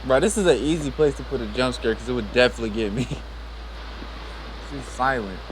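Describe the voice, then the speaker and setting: A man's voice: medium pitch, a little fast, noisy background. A man doing casual reaction commentary as a video host, slangy and exclamatory.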